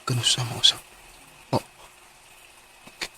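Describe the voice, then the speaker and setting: An actor playing a gentle, heartsick young boyfriend in a radio drama, speaking softly and hesitantly with a Cebuano Filipino accent. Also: male voice, slow pace. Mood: troubled. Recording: clearly noisy radio drama track.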